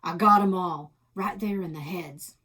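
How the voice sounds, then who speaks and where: Woman playing a feisty, husky Old West gunslinger gal. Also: on the low side, a little slow, pushed and bright, full character voice. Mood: bold, excited. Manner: folksy cowboy talk, dramatic. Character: feisty young female Old West gunslinger gold panner.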